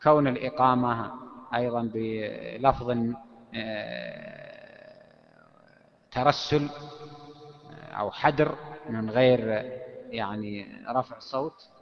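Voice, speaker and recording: A man's voice: medium pitch, vocal fry. Guy explaining an old legal text, lots of umms, hall echo, muffled recording. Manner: explanatory, with frequent pauses and fillers. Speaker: teacher explaining a classical text. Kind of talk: lecture on Islamic legal theory. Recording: echoey hall, dull lo-fi sound.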